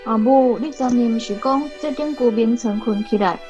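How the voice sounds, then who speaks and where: A woman playing a grown daughter who reasons gently with her mother in a radio drama, with a Taiwanese accent. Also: a little high, normal speed, lo-fi sound, faint music behind, lightly acted. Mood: coaxing.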